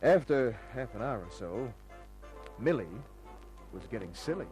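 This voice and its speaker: An actor playing a cocky, wisecracking private detective who narrates his own case in a dry, smooth-talking way, in an old-time radio drama. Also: medium pitch, sing-song, unhurried, a little mellow, poor audio. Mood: wry narrating. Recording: old radio recording with music.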